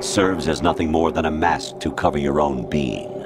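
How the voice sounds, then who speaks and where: An actor playing a cold, condescending male machine intelligence in a video game, lecturing in a measured way. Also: a bass voice. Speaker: game character. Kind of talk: video game cutscene voice acting. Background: loud dramatic music score.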